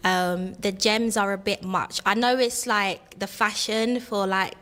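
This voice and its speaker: Woman, late twenties, mid pitch, chatty and candid contestant. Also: fairly even intonation, a little slow, fairly bright tone. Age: 20s. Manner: candid, chatty, filler-heavy. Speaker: dating show contestant.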